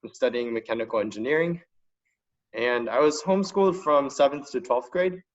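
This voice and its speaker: A man's voice: mid-range voice, fairly quick. Dull-sounding recording of a young man, casual. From China. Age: young adult. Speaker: student panelist. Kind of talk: casual spoken testimonial. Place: online video conference call. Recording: dull lo-fi online call audio.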